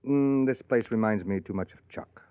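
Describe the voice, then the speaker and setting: An actor playing a suave, teasing, evasive love interest in an old radio drama. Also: male voice, fairly low pitch, normal speed, clean tone, natural voice. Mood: wry, teasing. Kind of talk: 1940s radio drama acting. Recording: thin narrow-band old radio recording.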